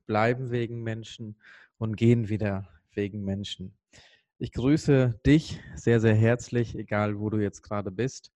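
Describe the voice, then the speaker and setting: A man from Sri Lanka speaking earnestly and with emphasis on a motivational podcast, pausing for weight as he tells a personal story. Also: fairly low pitch, a little mellow, dry recording.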